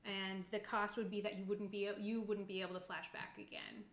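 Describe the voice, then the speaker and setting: A woman's voice: medium pitch, flat delivery, a little fast. Female game master. Casual, apologetic rules talk, recorded in a reverberant room. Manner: informal, apologetic, easygoing. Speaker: game master running a tabletop roleplaying game. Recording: roomy, echoing room sound.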